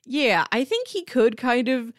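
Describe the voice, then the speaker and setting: A woman's voice: on the high side, sing-song, measured pace, no echo, clean studio sound. A woman co-hosting a casual book discussion, talking in a chatty, emphatic, conversational way. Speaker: podcast co-host discussing comics.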